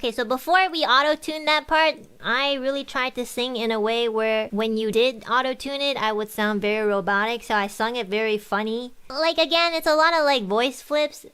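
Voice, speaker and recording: A woman's voice: a little high, a bit bright, smooth. A woman singer talking casually through the making of her song, chatty and full of "like". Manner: chatty, fills talk with "like". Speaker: singer and streamer. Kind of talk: casual behind-the-scenes commentary. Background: faint music from her track playing.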